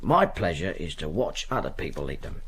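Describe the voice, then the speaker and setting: A man's voice: deep voice, very animated, normal speed, fairly bright tone, a little hiss or hum, full character voice. An actor playing a kindly, poor old ticket porter in a radio drama, stammering and repeating himself as he fusses. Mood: cheerful, fussing. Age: older.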